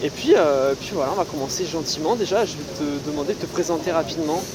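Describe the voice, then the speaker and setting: A young woman hosting a casual podcast interview outdoors, relaxed and hesitant, with many "euh" fillers. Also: low-pitched, quick pace, noisy background. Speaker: podcast host. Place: outdoors in a city on a hot day.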